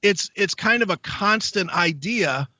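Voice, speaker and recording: A male show host with a high, bright voice, asking loose, stumbling questions. The sound is dry and a little dull. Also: lively intonation, a little slow, dry recording. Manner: short affirmations, stumbling questions. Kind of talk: casual interview questions.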